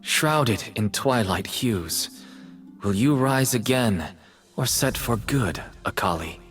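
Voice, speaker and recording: Solemn, troubled male artist for a video game: measured, unhurried, poetic, over soft music. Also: medium pitch, lively intonation. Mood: melancholy, gentle. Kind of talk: dramatic game voice lines.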